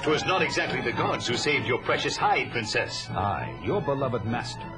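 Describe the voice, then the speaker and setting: A man's voice: medium pitch, sing-song, normal speed, natural voice. An actor playing a vengeful, battle-hardened barbarian who tells his own tale in solemn, declamatory audio drama style. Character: vengeful, battle-hardened male barbarian warrior, grim and proud. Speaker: narrator and lead actor. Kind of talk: dramatic first-person audio drama narration. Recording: loud music behind the voice.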